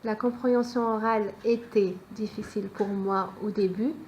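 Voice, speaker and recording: A woman's voice: mid-range voice, steady tone, normal speed, a little mellow, slight background noise, poor audio. A woman in her twenties from France, giving short plain interview answers with occasional fillers. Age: 20s.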